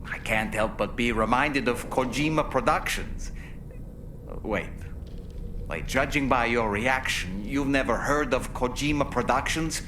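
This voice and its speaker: Here is a male actor playing a talkative, incredulous man in a video game cutscene. His voice sits at an average pitch, and there is clear rumbling noise behind him. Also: unhurried, close to an everyday voice.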